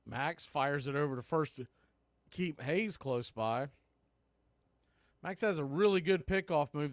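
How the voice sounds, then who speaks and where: Male play-by-play announcer, fairly high voice, relaxed with wry asides, close dry mic. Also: no room sound. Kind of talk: baseball play-by-play commentary.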